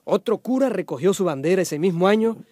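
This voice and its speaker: Lively, high-pitched folksy storyteller. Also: male voice, a little fast, no echo. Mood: wry, conversational. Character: chatty, wry male storyteller explaining history to listeners. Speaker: narrator. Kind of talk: conversational radio drama storytelling.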